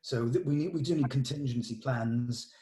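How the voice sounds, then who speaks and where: A man's voice: mid-range voice, moderate pace, dark tone. A man talking casually in a production meeting as a film director, hesitantly thinking aloud.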